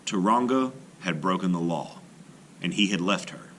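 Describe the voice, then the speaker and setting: A man's voice: low-pitched, expressive, moderate pace, a little hiss or hum. A man reading a children's story aloud with dramatic, carefully phrased narration.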